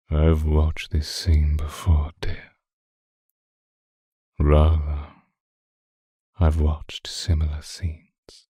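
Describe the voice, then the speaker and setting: A man playing an obsessive, seductive vampire in an intimate, hushed ASMR roleplay, speaking deliberately and often whispering close to the microphone. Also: dry recording, full character voice. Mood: seductive, possessive. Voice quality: very deep, breathy, husky, often whispering. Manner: slow, deliberate, hushed, formal wording. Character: seductive male vampire stalker, obsessive and predatory. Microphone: close microphone, dry sound.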